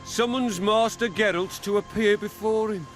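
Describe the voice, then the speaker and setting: A man's voice: high-pitched, steady tone, moderate pace, close to an everyday voice. A man playing a pompous, awkward royal messenger in a fantasy video game, formal and declaiming. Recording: game dialogue under loud music.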